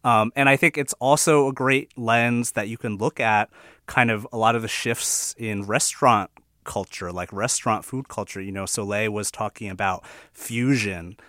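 A man with a lowish voice co-hosts a relaxed conversation, thinking out loud in a reflective way and often dropping in "you know." The sound is dry and close. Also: moderate pace, no room sound. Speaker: podcast co-host. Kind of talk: casual discussion.

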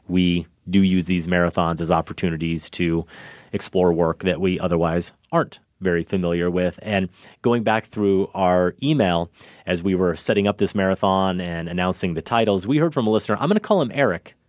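A man's voice: low voice, brisk, no echo. A man co-hosting a film review podcast, introducing films and giving dry, sardonic takes. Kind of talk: casual film review chat.

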